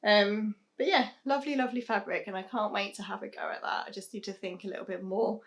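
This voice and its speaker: A young British woman chats to a camera set up in a small sewing room at home. Her voice is a little croaky and worn. She rambles in a friendly way, full of ums and soft laughs. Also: mid-range voice, steady tone, quick pace. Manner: chatty, many "um"s, soft laughs. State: hoarse, losing her voice. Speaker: sewing vlogger. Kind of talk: casual chat to camera.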